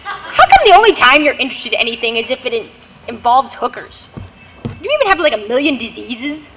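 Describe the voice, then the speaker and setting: A woman's voice: very animated, a little fast, noisy background. A young woman puppeteer voicing a fussy, churchy mother who nags and shrieks in a live puppet comedy. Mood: nagging. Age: young adult. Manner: squeaky, nagging, panicky shrieks. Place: gallery, live performance.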